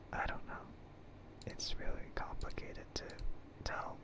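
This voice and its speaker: ASMR livestream host: breathy whisper, unhurried, hesitant and self-deprecating, casual rambling chat.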